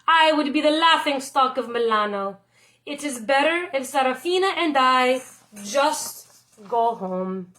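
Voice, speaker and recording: A woman voicing a humble Italian baker and father in a storybook, with emphatic, drawn-out delivery. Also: sits high, lively intonation, a bit bright. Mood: dejected.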